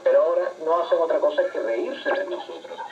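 A man's voice: on the high side, fast talker, over a noisy crowd. A man giving political commentary, heard through a shortwave radio. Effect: radio reception. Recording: muffled, very noisy shortwave radio.

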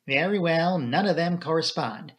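A man's voice: on the high side, expressive, normal speed, pitched above the performer's own voice, natural voice. A man voicing a grave, fussy local police inspector in an audiobook, plain-spoken, blunt and stubborn. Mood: annoyed, fussy. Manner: blunt, matter-of-fact, stubborn.

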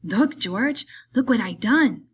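A woman voicing a big, simple, childlike male farm laborer in an audiobook, eager and pleading, with halting, repetitive, rustic speech. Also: fairly high pitch, animated, slightly rough, voice pushed up, exaggerated voice. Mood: happy, eager.

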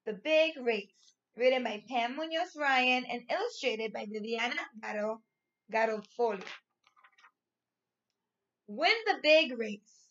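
A woman in her thirties, a primary school teacher reading and explaining to young children in an expressive, clearly enunciated way. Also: fairly high pitch, slow pace, pauses often, highs cut off. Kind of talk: read-aloud story and lesson for young children. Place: small furnished room at home, indoors.

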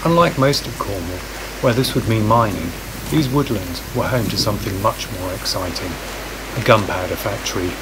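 A man reading a scripted documentary narration in a calm, measured voice-over. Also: fairly low pitch, very noisy. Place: voice-over, recorded apart from the woodland footage.

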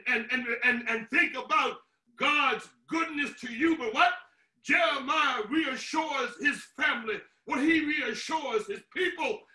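A man in his sixties preaching a sermon as a pastor, emphatic and declamatory, with deliberate pauses, in a small room at home. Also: extremely high pitch, pushed and bright. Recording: roomy echo, distant microphone.

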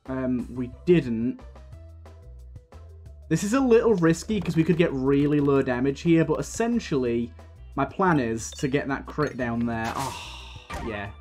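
A man with a slightly raspy voice from a coming cold, chatting casually as he plays and comments on a video game, thinking aloud with plenty of fillers. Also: mid-range voice, lively intonation, a little slow, darkish tone. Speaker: gaming video host. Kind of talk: casual let's-play commentary. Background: faint game music.

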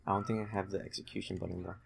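Young man, deep voice, monotone, halting casual gaming commentary, low-key, absorbed in play. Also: gravelly, slight background noise. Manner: halting, low-key, distracted while concentrating. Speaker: gamer playing and commentating.

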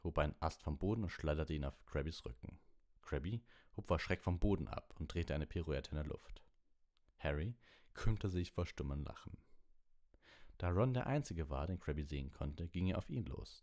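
A man's voice: very deep voice, darkish tone. A man narrating an audiobook in a storybook reading style.

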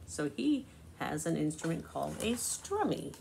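A woman in her sixties reading a picture book aloud to young children, deliberate and expressive, pausing to explain. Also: low-pitched, creaky. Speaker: children's storybook reader. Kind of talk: read-aloud storytelling to young children with asides. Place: small living room, indoors. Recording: noisy amateur home recording.

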